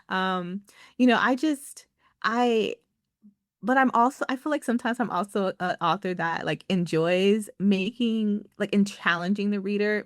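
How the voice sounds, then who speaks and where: A woman's voice: medium pitch, dry recording, studio quality. A woman author being interviewed on a podcast, talking chattily with lots of fillers and easy laughter. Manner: chatty, with many likes and ums, laughs easily. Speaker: author guest.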